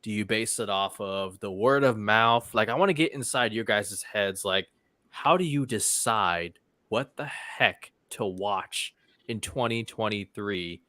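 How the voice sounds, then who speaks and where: Dude cohosting a movie chat, bright punchy voice, really dry recording, emphatic. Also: male voice, fairly low pitch, a little slow, no echo. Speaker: co-host of a movie talk show. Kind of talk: casual chat, posing questions.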